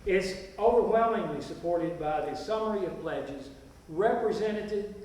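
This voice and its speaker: A man's voice: high-pitched, measured pace, darkish tone, a little hiss or hum. A man playing sincere rural farmers in a stage play, reciting in a formal, declarative way. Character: sincere rural farmers pledging labor and land. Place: stage performance at a festival. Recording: echoey hall, distant mic.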